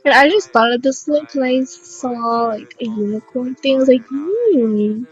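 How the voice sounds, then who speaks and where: A young woman with a raspy voice, casually narrating a makeup tutorial in a rambling, unsure, self-deprecating way. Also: sits high, steady tone, moderate pace, highs cut off, faint music behind.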